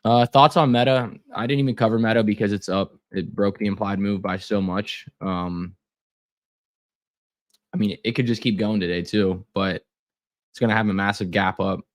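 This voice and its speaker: A man's voice: fairly low pitch, fairly even intonation, moderate pace. A young man losing his voice, running a casual live trading stream with lots of fillers and repeated words.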